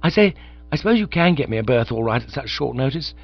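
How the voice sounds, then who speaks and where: A man voicing an excitable young gentleman who talks in a breezy, hurried, chummy way. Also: mid-range voice, creaky, breathy edge, pitched above the performer's own voice, heavily acted. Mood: eager. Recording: slightly dull lo-fi recording.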